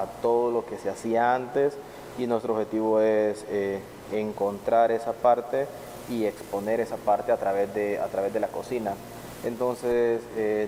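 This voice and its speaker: Mellow chef, low voice. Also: male voice. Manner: reflective, many hesitations. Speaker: chef panelist. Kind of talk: conference discussion. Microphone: video call. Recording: reverberant room, faint noise.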